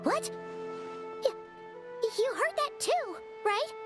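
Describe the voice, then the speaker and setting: A little childlike fairy companion from a video game, with a piping, very high voice. She is excitable and perky, swinging widely in pitch and drawing words out slowly. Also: exaggerated voice. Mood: astonished. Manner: perky, exclaiming, drawn-out delivery. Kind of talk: video game character acting. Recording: studio voice over game music.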